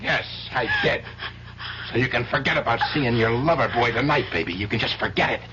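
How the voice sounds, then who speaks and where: Male actor as jealous murderous husband, forceful edged voice, sneering, taunting, old radio drama, dull hissy recording. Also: medium pitch, brisk, lightly acted. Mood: menacing, taunting. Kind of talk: 1970s radio drama acting.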